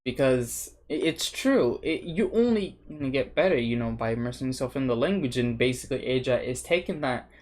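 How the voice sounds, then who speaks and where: A man's voice: mid-range voice, animated, brisk, hardly any pauses, darkish tone. A young man whose first language is Spanish, rambling and swearing casually to a cheap webcam while seated indoors, with lots of restarts and fillers. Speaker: vlogger. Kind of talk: casual unscripted chat to camera.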